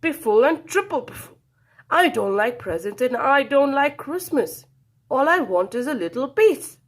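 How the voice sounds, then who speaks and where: Woman putting on a loud, blustering grumpy badger. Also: high voice, very animated, normal speed, voice pushed up. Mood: exasperated. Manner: blustering, spluttering, emphatic exclamations. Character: grumpy, scornful male badger who wants sleep.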